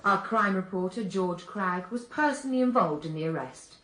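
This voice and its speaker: A woman's voice: medium pitch, expressive, moderate pace, a bit bright, lightly acted, low-quality recording. A woman playing a formal English radio newsreader in a radio drama. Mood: formal, neutral. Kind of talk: radio news reading in a drama. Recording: radio playing amid party crowd.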